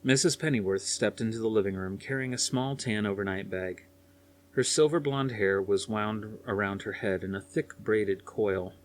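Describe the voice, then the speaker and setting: A man narrates a novel in a fairly low voice. The delivery is scripted audiobook storytelling, reading prose descriptions.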